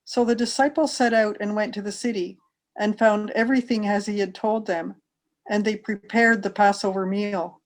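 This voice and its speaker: A woman reads scripture aloud at an ordinary middle pitch. The delivery is scripted narration, as from a member of a church taking a turn as reader. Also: fairly even intonation, moderate pace, low-quality recording.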